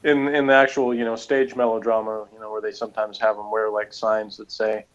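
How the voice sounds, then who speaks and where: A man chatting casually as a reviewer, talking in a rambling, halting way. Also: on the low side, flat delivery. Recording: dull lo-fi audio.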